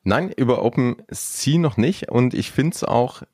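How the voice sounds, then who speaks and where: A man's voice: medium pitch, lively intonation, unhurried, darkish tone, slightly rough, no echo, clean studio sound. A man hosting a podcast, chatting in an upbeat way with frequent fillers and restarts. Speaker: podcast host. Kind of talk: casual podcast chat.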